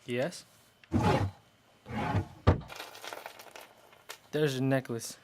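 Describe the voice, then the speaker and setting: A man's voice: mid-range voice, steady tone, a little slow, background noise, close to an everyday voice. Guy doing a dry cop in a radio drama, mid voice, few words, skeptical. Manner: terse, dry. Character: laconic, skeptical male police lieutenant. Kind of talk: 1940s detective radio drama acting.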